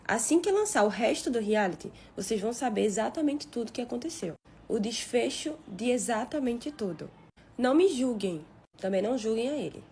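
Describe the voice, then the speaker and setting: A woman, talking casually and defensively to her followers, blunt and expressive. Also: on the high side, brisk, slight background noise. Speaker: reality show participant. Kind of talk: casual social media video.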